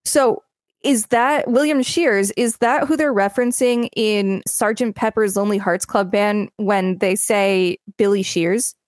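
A woman's voice: medium pitch, a little slow, no room sound, studio quality. A woman co-hosting a podcast, reading articles aloud and chatting casually between entries. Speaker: podcast co-host.

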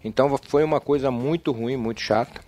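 A man speaking as a guest football coach, telling old match stories in a blunt and casual way. His speech is full of slang and plain talk, at an ordinary pitch. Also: fairly quick, darkish tone. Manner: blunt storytelling with frequent slang. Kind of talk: casual chat.

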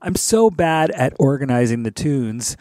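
A man's voice: medium pitch, animated, unhurried, dark tone, dry recording, studio quality. A man in his fifties hosting a music radio show, talking casually and loosely as he thinks aloud between tracks. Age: 50s. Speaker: radio DJ host.

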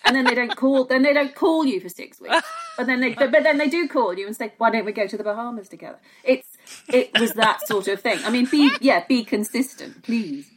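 A woman's voice: on the high side, fairly quick, background noise. A woman with a British accent giving conversational opinion as a guest pundit, circling back over words as she makes her point. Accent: British English. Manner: hesitant phrasing, repeats words for emphasis. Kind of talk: conversational opinion, podcast discussion.

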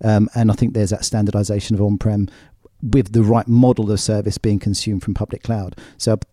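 A man speaking as a guest on a tech panel, explaining in a relaxed, matter-of-fact way. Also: on the low side, a little fast, dry recording, studio quality. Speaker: podcast guest, technologist. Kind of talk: casual panel chat.

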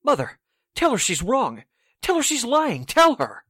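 A woman acts out a distraught, disbelieving grown child in an old-style radio melodrama. The voice is husky and exclamatory, leaping widely in pitch at a slow pace. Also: wildly swooping pitch, fairly bright tone, dry recording, clean studio sound, full character voice. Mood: desperate pleading. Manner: emotional, exclamatory. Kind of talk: radio drama acting in 1940s style.

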